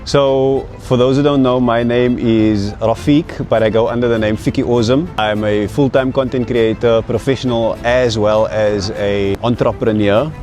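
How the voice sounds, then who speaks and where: Casting: young-sounding man, mid pitch, polished and fluent testimonial speaker. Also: steady tone, moderate pace, hardly any pauses, a little mellow, soft background music. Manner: fluent, confident self-presentation. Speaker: content creator giving a testimonial. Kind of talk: testimonial interview.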